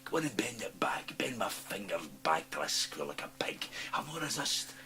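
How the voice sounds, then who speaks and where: A man's voice: fairly low pitch, very animated, normal speed, sharp tone, soft background music. An actor playing a sly, menacing, gleeful Scottish man in a radio drama, taunting and insinuating, breaking into hissing whispers. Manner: taunting, insinuating, swinging from cajoling to snarling.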